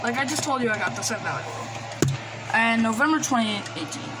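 A woman's voice: fairly high pitch, expressive, a little fast. A woman giving a casual, hesitant, rambling show-and-tell in an informal way, recorded on a handheld phone. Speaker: collector presenting gadgets. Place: small indoor room at a desk. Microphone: handheld phone camera. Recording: noisy handheld recording, background hiss. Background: noise and radio devices.

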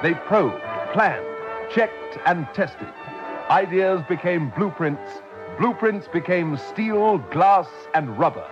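Male advert announcer, fairly high pitch, vintage radio commercial, dull recording, music behind. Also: animated, slow.

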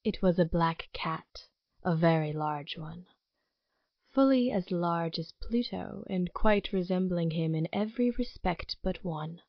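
A woman playing the composed narrator of a gothic audio drama, telling the tale in a measured, formal storytelling manner. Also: on the low side, no room sound, dull audio. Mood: measured, mysterious.

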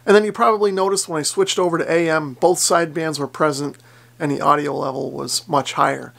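American man, 40s, casual hobby vlogger, higher-pitched voice with some fry, modest plain explainer. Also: fairly even intonation, fairly quick, fairly bright tone. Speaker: ham radio vlogger. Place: basement radio workshop indoors.

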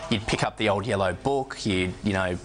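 A man's voice: on the low side, normal speed, a little hiss or hum. A man in his thirties with an Australian accent talks conversationally in an interview, often repeating words and saying "you know". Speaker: studio guest, online business representative. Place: television news studio, indoors.

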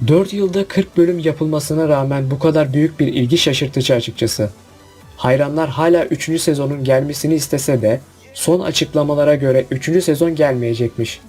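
A man's voice: on the high side, a little fast, warm tone, breathy edge. A man narrating a scripted countdown review. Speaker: video narrator. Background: background music.

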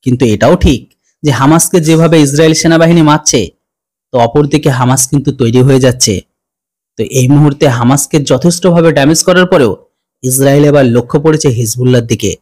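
A young man hosts an online news reaction video. His voice sits a little high for a man. He delivers his commentary as a presenter addressing viewers. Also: fast, darkish tone. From India.

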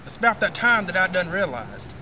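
Casting: male comic as crude boozy Southern redneck spinning tales, very sharp forceful voice, recorded outdoors from a distance. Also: high-pitched, big pitch swings, brisk, heavily acted. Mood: gruff, irritated. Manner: brash, profane, drawling. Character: crude, drunken redneck man spinning rambling foul-mouthed tales. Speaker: storytelling host character. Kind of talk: comic in-character monologue. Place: outdoors on a wooden deck in woods. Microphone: camera a few meters away. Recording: distant camera mic with faint outdoor noise.

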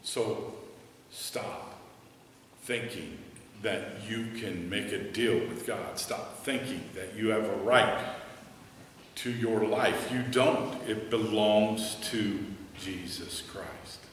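A middle-aged American man, a pastor preaching earnestly from a church pulpit, then leading a hushed prayer. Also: fairly low pitch, slow pace, slight background noise. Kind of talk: sermon and altar call. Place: church sanctuary, standing at a pulpit. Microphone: headset mic. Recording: echoey church hall, from a distance.

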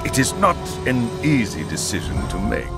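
An actor playing a stern older academy headmaster in a video game, speaking formally and with measured theatrical weight. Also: male voice, medium pitch, big pitch swings. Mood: measured, concerned. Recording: orchestral game music behind the voice. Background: background music.

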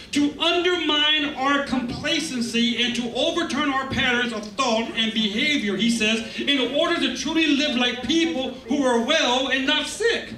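A man's voice: very high voice, pushed and bright. A middle-aged male pastor preaching a sermon in a conversational, emphatic way, with asides to the congregation, on a church stage with a handheld mic. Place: church stage, medium hall, indoors. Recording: echoey room, camera set far back.

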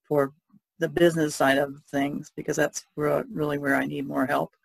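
Creaky-voiced woman chatting. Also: low voice, flat delivery, moderate pace, dry recording. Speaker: podcast co-host, painter. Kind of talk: casual podcast chat.

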